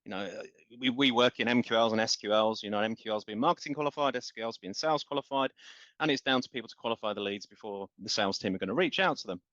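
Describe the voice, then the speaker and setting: A man's voice: fairly low pitch, quick pace, fairly bright tone, no echo. A man, a guest on a business interview, explaining with passion in long run-on sentences and repeated words. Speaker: guest, marketing company founder. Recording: dull, lo-fi.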